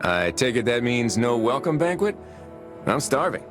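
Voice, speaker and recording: An actor playing a steady, plainspoken male hero in a video game, calm and direct. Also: mid-range voice, normal speed, music in the background, lightly acted. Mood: casual, curious. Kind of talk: video game cutscene acting.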